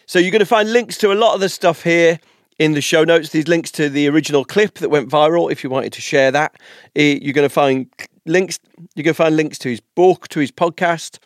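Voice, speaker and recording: A man's voice: on the high side, fairly quick, dry recording, studio quality. A man hosting a podcast, introducing and interviewing a guest in an enthusiastic way with occasional repeats. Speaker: podcast host.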